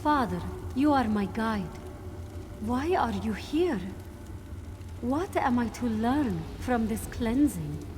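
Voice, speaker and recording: A woman playing a young cat-woman mystic in a fantasy game, earnest and reflective, sometimes halting. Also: a little high, expressive, noisy background. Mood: startled. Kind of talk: dramatic fantasy game acting.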